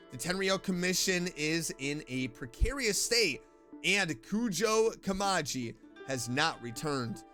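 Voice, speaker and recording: Bright, high-pitched animated male gamer. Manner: animated, reacting playfully. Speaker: streamer, gamer. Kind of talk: game commentary, reading dialogue aloud.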